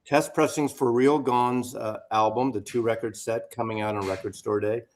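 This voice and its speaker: A man's voice: medium pitch, fairly even intonation, normal speed, a little hiss or hum. A man in a casual chat, rambling and self-deprecating as he shows records.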